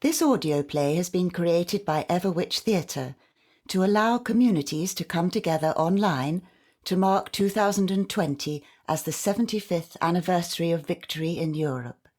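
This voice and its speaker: A woman's voice: lowish voice, normal speed, darkish tone. A woman narrating a scripted introduction to an audio play as its announcer.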